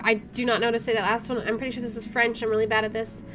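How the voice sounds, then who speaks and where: A woman with a fairly high voice hosts a let's play of a story game. She reads narration aloud and drops in casual comments, with game music clearly behind her. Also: little pitch movement, rapid-fire. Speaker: gaming video host.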